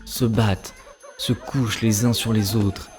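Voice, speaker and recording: A man's voice: lowish voice, fairly even intonation, unhurried, over music, natural voice. A man playing an earnest, moralizing bridegroom in a cartoon, explaining things seriously. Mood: serious, disapproving. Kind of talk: cartoon dialogue, French dub.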